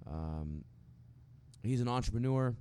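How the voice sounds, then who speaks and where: A man hosting a casual podcast interview, speaking haltingly and repeating words as he goes. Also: medium pitch, lively intonation, dark tone. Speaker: podcast host. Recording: clear background noise.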